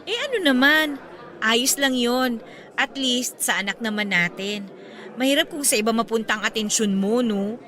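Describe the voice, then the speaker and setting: A woman's voice: on the high side, normal speed, pushed and bright. A woman with a Filipino accent playing a devoted, affectionate girlfriend in a radio drama. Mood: playful, fond. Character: devoted, sweet girlfriend in her thirties, newly graduated. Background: background music.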